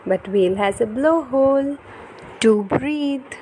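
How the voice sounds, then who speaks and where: A woman's voice: high voice, slow, noisy background. A woman reading a picture book aloud to young children in a teacherly way, with questions and exclamations.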